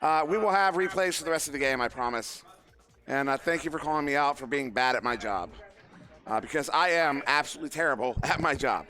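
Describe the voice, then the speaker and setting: Male esports caster, hoarse from a long event, self-deprecating, bright tone. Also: on the high side, brisk, faint music behind. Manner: joking, self-deprecating, rambling with fillers. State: losing his voice. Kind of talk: live play-by-play commentary. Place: live event venue.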